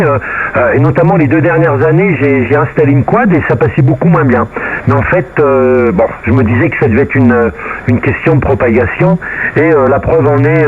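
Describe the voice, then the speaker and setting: A man chatting casually and colloquially over amateur radio, often repeating his phrases. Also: mid-range voice. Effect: radio transmission. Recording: narrow, noisy radio transmission. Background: faint radio noise.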